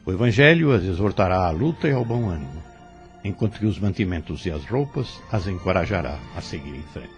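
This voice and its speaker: Audio drama spirit teacher, kindly and solemn male actor, Brazilian, deep voice, faint music underneath. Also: lively intonation, fairly quick. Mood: instructive, earnest. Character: calm, kindly male spirit instructor, earnest and devout. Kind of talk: didactic audio drama dialogue.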